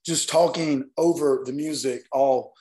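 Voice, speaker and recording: Guy w a worn out hoarse voice, rambles on earnestly about his stuff. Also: medium pitch, steady tone, measured pace, dark tone. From Northeast Alabama, US South. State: hoarse, partly lost voice. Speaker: guest, nightlife event promoter. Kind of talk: casual interview talk.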